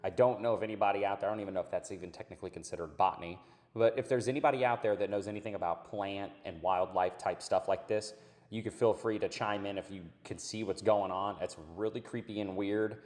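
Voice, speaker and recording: Hoarse, raspy man with tunnel-like echo. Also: lowish voice, steady tone, fast talker, a little mellow. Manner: rambling, laid-back, says dude and man. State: hoarse after illness. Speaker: channel host. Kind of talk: casual commentary on clips.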